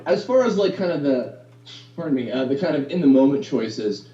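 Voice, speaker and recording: A man who voices anime characters, talking in a casual interview. He rambles with many fillers and restarts and has a baritone voice. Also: expressive, brisk, mellow. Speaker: voice actor guest. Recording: distant, roomy, dull lo-fi sound.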